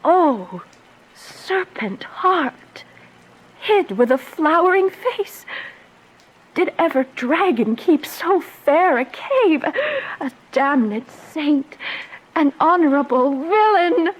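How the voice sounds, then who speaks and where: Actress as young bride in Shakespeare radio drama: impassioned, declamatory, high pitch, slow drawn-out pace. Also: female voice, animated, rough recording. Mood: shocked anguish. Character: lovestruck, anguished young noblewoman, newly married.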